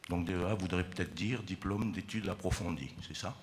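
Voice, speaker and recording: A man working as a defense lawyer, questioning a witness in court in a formal, courteous and measured way. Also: low-pitched, big-room sound, slight background noise. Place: large courtroom.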